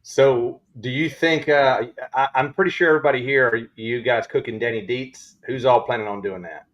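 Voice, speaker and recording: A man's voice: mid-range voice, moderate pace, fairly bright tone, lo-fi sound. A man hosting a casual livestream chat, asking questions in a joking, profane way. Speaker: livestream host.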